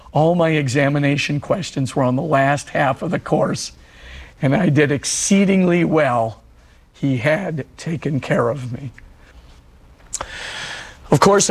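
A middle-aged priest speaks with a husky, hoarse voice that is fraying at the edges. His gravelly, breathy delivery sits slightly high, and he tells his story earnestly, driving points home with repetition. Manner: earnest storytelling preacher, repeats phrases for emphasis. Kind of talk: televised religious talk.

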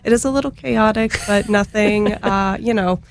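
A woman's voice: medium pitch, moderate pace. Young adult woman, radio guest, hesitant, many fillers, faint background noise. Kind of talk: casual radio interview.

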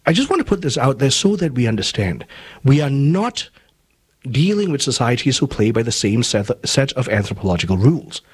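A man from Malaysia hosts a talk show, his voice a little husky. He speaks in a measured, deliberate way, sometimes restarting his sentences. His pitch sits in a middle range. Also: big pitch swings. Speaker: host. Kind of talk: commentary and interview.

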